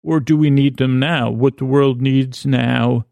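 A man hosting a podcast with a rambling, comedic bedtime monologue, halting and often interrupting himself. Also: medium pitch, fairly even intonation, warm tone, dry recording. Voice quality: creaky, gravelly. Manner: halting, meandering, self-interrupting. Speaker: podcast host.

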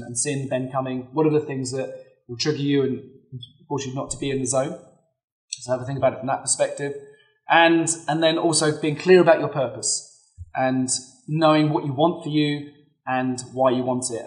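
A man in his thirties, slightly hoarse from a cold, giving earnest, advisory solo podcast talk as host and coach and thinking aloud as he goes. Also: medium pitch, rough recording.

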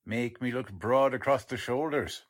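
A man's voice: medium pitch, a little slow, lightly acted. A man acting a cheerful, proud, unpolished police lieutenant with folksy bluster in a 1940s-style radio drama. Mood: eager, proud. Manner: folksy, ungrammatical, good-natured bluster.

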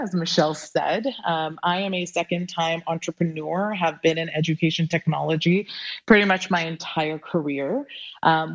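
A woman's voice: lowish voice, dull audio. A young woman entrepreneur from Pakistan, talking earnestly and reflectively as a podcast guest, with frequent fillers. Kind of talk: casual interview talk.

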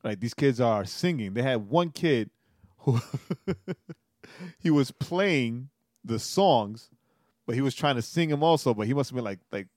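Animated man in his forties, dry mic. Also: medium pitch, unhurried, no echo. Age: 40s. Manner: animated storyteller, laughs often, says "right?" a lot. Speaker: podcast co-host. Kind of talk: casual chat.

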